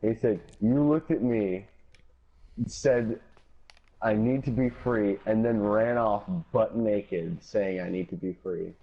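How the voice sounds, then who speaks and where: A man's voice: fairly low pitch, slow. A young man casually telling an anecdote as a guest storyteller, on dull lo-fi audio.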